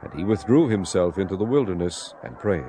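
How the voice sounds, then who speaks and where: A man narrating dramatized scripture as a solemn, measured storyteller. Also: low-pitched, lively intonation, crowd noise, noisy background. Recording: clear music bed behind narration. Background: music.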